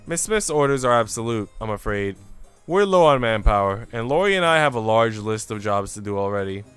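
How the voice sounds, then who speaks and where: A man's voice: fairly low pitch, expressive, brisk, fairly bright tone, clean tone, no room sound, soft background music. A man voicing a cool, protective housemaid in a visual novel, composed and politely sardonic. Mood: calm defiance. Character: cool, calm, protective housemaid, defiant and dry.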